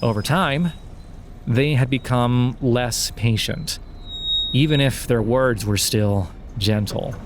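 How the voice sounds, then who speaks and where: Measured male audio drama narrator. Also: medium pitch, noisy background.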